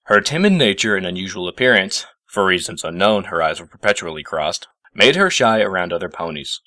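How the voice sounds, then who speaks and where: Low-voiced man reading a story. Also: lively intonation, brisk, pushed and bright, dry recording, clean studio sound. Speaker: story reader. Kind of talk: scripted story narration.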